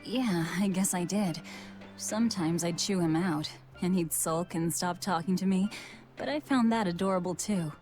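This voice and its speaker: A woman's voice: fairly low pitch, normal speed, darkish tone, faint music behind, close to an everyday voice. An actress playing a tough, guarded young woman in a video game, blunt and commanding with a dry manner. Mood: dry, wistful.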